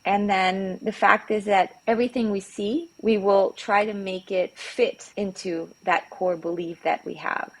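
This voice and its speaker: A middle-aged woman from California, a behavior analyst guest on a podcast, explaining ideas in a calm, measured way. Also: medium pitch, rough recording. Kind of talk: casual interview, explaining.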